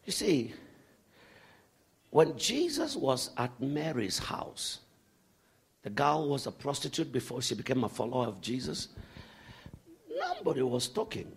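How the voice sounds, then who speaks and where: A man preaching a sermon to a congregation, animated, swinging from shouts to hushed asides. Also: medium pitch, measured pace, gravelly, pauses often, slightly rough.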